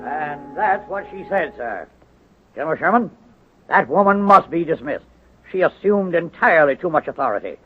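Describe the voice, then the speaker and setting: A man's voice: a little high, animated, moderate pace, soft background music, close to an everyday voice. Man acting pompous, affronted army surgeon, tinny radio. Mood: indignant, spiteful. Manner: officious, indignant. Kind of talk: 1930s-40s radio drama acting. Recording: tinny, hissy old radio recording.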